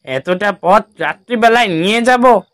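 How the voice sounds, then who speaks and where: A man's voice: sits high, animated, measured pace, a bit bright, no room sound, clean studio sound. A man voicing a mysterious middle-aged auto-rickshaw driver in a horror cartoon, blunt and haggling.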